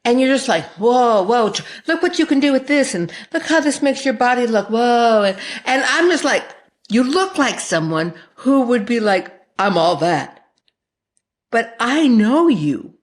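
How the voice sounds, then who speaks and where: A woman's voice: a little high, very animated, a little slow. A woman hosting a podcast in a conversational interview style, speaking with an effusive, emphatic and affectionate manner. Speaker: podcast host.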